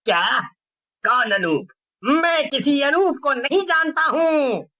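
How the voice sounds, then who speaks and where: A man's voice: extremely high pitch, expressive, slow pace, sharp tone, heavily acted. A man playing a scheming village prankster who poses as a ghost, theatrical and blustering, in a cartoon story. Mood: flustered.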